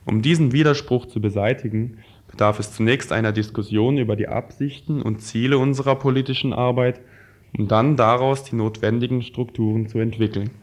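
A man reading prepared political texts aloud in a scripted radio style. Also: mid-range voice.

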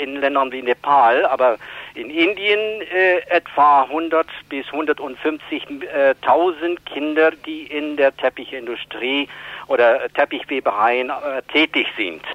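A man answering interview questions as an aid organisation spokesman, with halting, hesitant phrasing. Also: fairly high pitch, no room sound. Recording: dull phone-line sound, dry.

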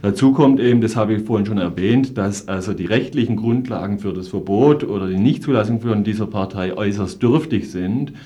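A man speaks as a guest in a political discussion, in a low and very mellow, dark voice. He lays out his reasoning analytically, in measured, wordy sentences. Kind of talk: political discussion, explanatory argument.

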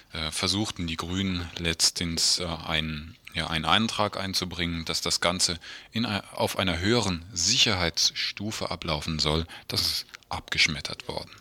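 A man hosting a radio news magazine, presenting from notes and sometimes halting as he goes. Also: low voice, sharp tone, no room sound. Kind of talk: radio presenting, semi-scripted.

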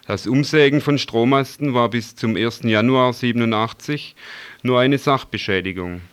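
A man's voice: medium pitch, moderate pace, smooth. A man reporting radio news and asking interview questions as a reporter.

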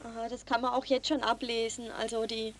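A woman's voice: fairly high pitch, level tone, brisk. A woman interviewing a guest in an informal, conversational way for radio.

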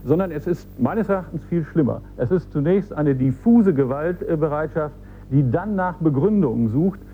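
A man answering an interview as an academic expert, in an analytical manner with measured wording. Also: on the high side, lively intonation, mellow. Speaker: academic expert on youth violence. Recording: faint hum and noise, off-air clip.

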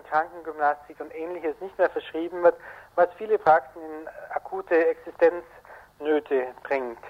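A man giving a news preview as a radio reporter, speaking haltingly over a dull remote link. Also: a little high. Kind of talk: live news preview by link from a branch studio. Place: radio branch office, connected remotely.

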